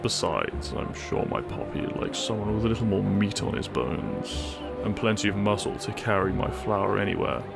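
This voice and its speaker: An actor playing a brash, possessive warrior prince in a romantic roleplay audio drama, gruff, boastful and taunting. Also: male voice, on the low side, normal speed, few pauses. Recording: music under the voice.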